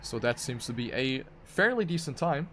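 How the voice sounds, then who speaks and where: A man's voice: mid-range voice, big pitch swings, moderate pace, pushed and bright, a little hiss or hum. A man giving live commentary on a racing video game as an esports caster.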